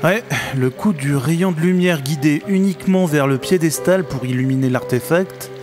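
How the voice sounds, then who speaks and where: A man's voice: on the high side, moderate pace, lightly acted. A man playing a sceptical, sarcastic adventurer in an audio comedy, resigned to doom. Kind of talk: French amateur audio comedy acting. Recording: music under the voice.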